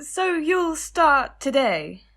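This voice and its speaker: A woman's voice: high-pitched, very animated, fairly bright tone, full character voice. A woman playing a plummy English lady of the manor. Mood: gracious. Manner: plummy, affected, drawn-out. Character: posh genteel lady of the manor, fussy. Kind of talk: student radio play acting.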